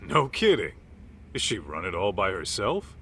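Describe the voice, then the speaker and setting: An actor playing a gruff middle-aged ex-gangster turned detective in a video game dub, talking bluntly and wearily in informal, clipped phrases. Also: male voice, fairly low pitch, wildly swooping pitch, normal speed, fairly bright tone, slight background noise, full character voice. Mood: probing, skeptical.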